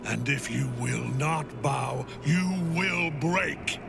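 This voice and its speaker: Slow, menacing fanatical villain general. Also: male voice, mid-range voice, creaky, over music. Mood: imperious, threatening. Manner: grandiose, slow, commanding, theatrical. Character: brooding, fanatical villain general, grief-worn, imperious and menacing. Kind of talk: dramatic video game villain acting.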